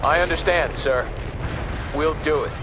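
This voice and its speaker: An actor playing an earnest, determined army captain in a video game war drama, loyal and intense. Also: male voice, fairly high pitch, a little slow, natural voice. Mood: resolute. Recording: battle noise and loud music behind.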